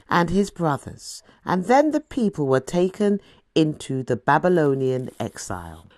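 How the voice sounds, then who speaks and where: A woman reading scripture as scripted audiobook narration, with a deliberate, dramatic storytelling delivery. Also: on the low side, dry recording, clean studio sound.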